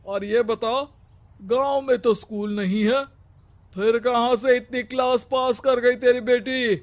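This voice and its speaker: A man's voice: very high voice, fairly even intonation, measured pace. A man voicing a stern, domineering village headman in a cartoon story, speaking harshly and commandingly.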